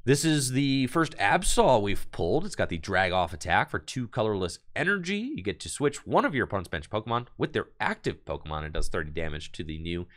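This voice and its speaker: A man opening card packs on a live stream, reading card text aloud and hyping his pulls for chat, his voice going hoarse. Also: lowish voice, sing-song, fairly quick, hardly any pauses, a bit bright. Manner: enthusiastic, hype-building, reads card text aloud. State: losing his voice.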